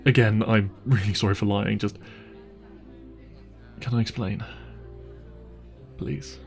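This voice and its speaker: A wealthy young boyfriend, played by a man, speaks softly and intimately in an audio roleplay. His voice is on the low side with a noticeable fry, and music plays faintly behind him. He comes across as caring, apologetic and a little hesitant. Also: flat delivery, slow. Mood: earnest, explaining. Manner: gentle, hesitant, apologetic, reassuring.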